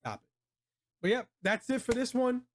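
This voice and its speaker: A man's voice: extremely high pitch, sing-song, moderate pace. A man hosting a casual movie review chat, speaking in a slangy, emphatic, opinionated way. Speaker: host of a video game movie review show.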